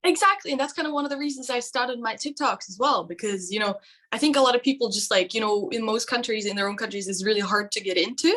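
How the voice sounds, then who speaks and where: A woman's voice: a little high, quick pace, fairly bright tone. A woman from Norway in her twenties, a vet student and guest, chatting casually and laughing often. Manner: laughs often, chatty with many likes and you-knows. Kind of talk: casual chat.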